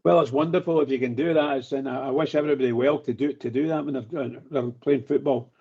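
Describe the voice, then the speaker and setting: An older man, hoarse from shouting, talks in a dark, raspy voice full of little pauses and fillers. He comes through a dull, muffled phone-in line. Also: medium pitch, steady tone. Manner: hesitant, warm-hearted, lots of uh fillers. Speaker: fan radio pundit. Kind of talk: casual phone-in chat.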